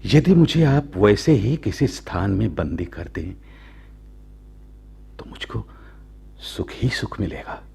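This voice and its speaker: A proud, lovestruck commander of ancient India in a radio play. The voice is deep and very husky. The words come slowly and formally, with halting, courtly pauses. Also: male voice, very animated, dark tone, full character voice. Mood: tender, wooing. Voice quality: gravelly, husky. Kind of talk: slow, theatrical Hindi radio drama acting.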